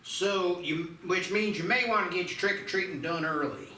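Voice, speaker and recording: Male TV forecaster acted by a man, high voice, chatty patter, echoey with music behind. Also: normal speed, pushed and bright, recorded from a distance, slight background noise. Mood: chatty, informative. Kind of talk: scripted broadcast patter, table read.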